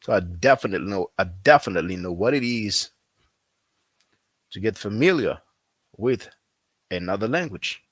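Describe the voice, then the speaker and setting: A man who is not a native English speaker, talking casually as a language teacher, repeating himself often and filling pauses with "you know.". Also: lowish voice, expressive, a bit bright, husky, dry recording. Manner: repetitive, conversational, frequent fillers. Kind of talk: casual promotional talk.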